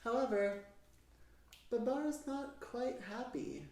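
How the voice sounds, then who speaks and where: A woman reading a children's story aloud in an expressive, measured, theatrical way. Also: fairly high pitch, a little hiss or hum. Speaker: storybook narrator. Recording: very echoey room, distant mic, music. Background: piano music.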